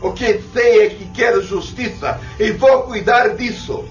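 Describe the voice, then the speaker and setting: A vengeful spirit, a wronged father in an audio drama. The voice is high and drawn out, anguished and defiant. It rings with echo on a dull recording. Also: soft background music. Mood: bitter, vengeful. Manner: slow, anguished, defiant delivery.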